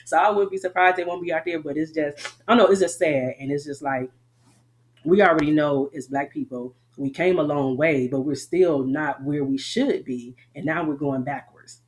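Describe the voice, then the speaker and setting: A woman's voice: sits low, normal speed. A middle-aged woman with a Southern US accent, an army veteran talking candidly and at length in an interview, often laughing. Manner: rambling, frank, laughs often.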